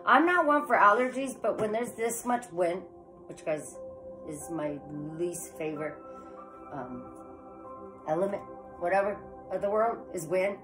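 A woman's voice: medium pitch, animated, a little slow, over music, low-quality recording. A woman in her forties with a head cold, chatting casually to camera as she reads tarot cards, rambling and calling her viewers "guys". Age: 40s. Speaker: tarot reader. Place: living room with electric fireplace, indoors.

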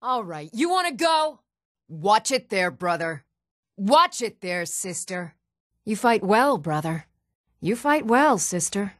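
Even toned young woman from a fantasy game, measured townswoman warrior type, medium pitch, dry recording. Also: dry recording. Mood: stern, threatening. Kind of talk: scripted video game dialogue.

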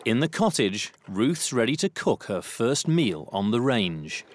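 Lively male documentary narrator. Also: mid-range voice, a little slow. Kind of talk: scripted narration. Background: music bed.

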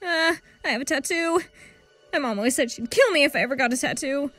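Woman playing very high-voiced, flustered heroine. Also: lively intonation, fast talker, a bit bright, faint music behind. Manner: flustered, questioning. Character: self-conscious teenage girl in action heroine's body. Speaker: amateur fan-dub voice actor. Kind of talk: film fan dub acting.

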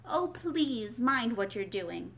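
A woman's voice: on the high side, big pitch swings, takes it slowly, creaky, a bit bright, full character voice. A woman reading a storybook aloud as a curious, polite young girl who is easily exasperated. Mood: alarmed.

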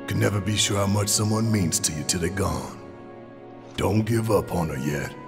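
An actor playing a big, gruff, brash rebel fighter with a warm heart and a gravelly voice in a video game. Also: male voice, sits low, moderate pace, over music. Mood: earnest. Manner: brash, emphatic, folksy. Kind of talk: dramatic video game acting.

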